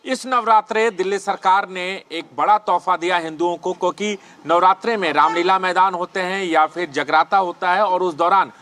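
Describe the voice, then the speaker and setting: High, bright-voiced male field reporter. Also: moderate pace, hardly any pauses, faint music behind. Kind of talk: news reporting and street interviews.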